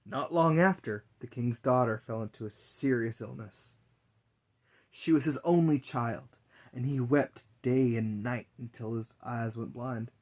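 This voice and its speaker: A man's voice: medium pitch, unhurried, darkish tone. A man narrating a fairy tale as a dramatic storyteller's reading.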